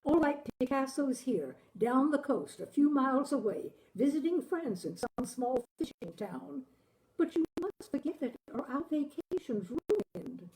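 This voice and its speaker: A woman's voice: sits high, animated, unhurried, darkish tone, pitched above the performer's own voice, low-quality recording. A woman voicing a fussing, exasperated wife in a story reading, chiding her husband with insistent concern. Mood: chiding, pleading. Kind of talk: acted dialogue in story reading.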